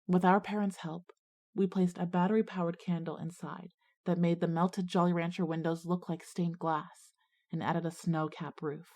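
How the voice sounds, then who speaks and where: A woman acting as an earnest schoolgirl visionary, narrating her own ghost story in a measured way for an audio drama. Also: fairly low pitch, level tone, dark tone. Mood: wistful, nostalgic. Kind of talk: scripted first-person dramatic narration.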